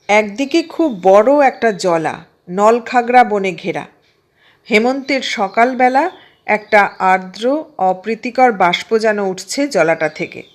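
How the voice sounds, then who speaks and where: A woman reads a literary story aloud in a measured, expressive storytelling manner. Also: medium pitch. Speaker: audiobook narrator. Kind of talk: scripted literary story narration.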